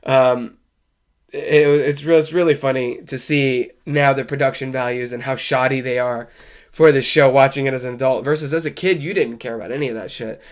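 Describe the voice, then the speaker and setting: A man's voice: medium pitch, steady tone, moderate pace. A man with a stuffy, congested voice from a cold, hosting a podcast and chatting casually and wryly as he reviews a show. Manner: wry, conversational, loose and rambling. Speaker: podcast host. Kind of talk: casual solo film review chat.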